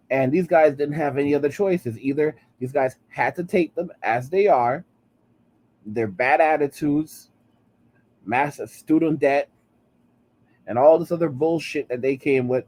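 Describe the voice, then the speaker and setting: A man's voice: on the high side, mellow. A man in his late thirties hosting a commentary channel, ranting heatedly and repeating "all right?" between points. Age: 30s.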